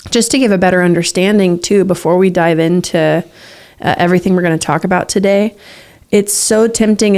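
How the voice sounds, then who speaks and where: A woman's voice: fairly low pitch, darkish tone. A young adult woman co-hosting a relationship podcast, talking in an earnest, flowing, encouraging way. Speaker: podcast co-host. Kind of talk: casual podcast chat about relationships.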